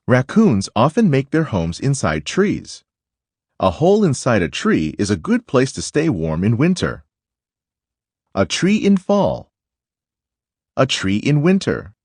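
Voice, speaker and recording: Man, children's book read-aloud, highly varied intonation, unhurried pace, instructive phrasing. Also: darkish tone, slightly rough, dry recording.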